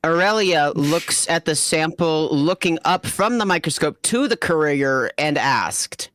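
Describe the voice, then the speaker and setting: A woman's voice: low voice, lively intonation, a little slow, fairly bright tone, no room sound. A woman narrating a comedic story reading in a chatty way, stumbling over words and correcting herself. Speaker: narrator and host of a fanfiction reading.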